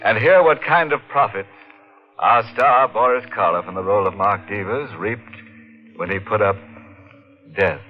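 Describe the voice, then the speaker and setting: A man playing a ghoulish radio show host who delivers macabre puns slowly and gloatingly, in a 1950s radio drama. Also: lowish voice, soft background music, natural voice. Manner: slow, gloating, punning delivery. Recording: dull old 1950s radio broadcast.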